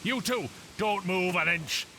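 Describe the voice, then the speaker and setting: A man's voice: high voice, unhurried, background noise. An actor playing a sardonic, exasperated undead man in a fantasy audio drama, speaking in a dry, wordy way. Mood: exasperated, alarmed. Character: grumbling, sardonic undead male traveller with decaying body.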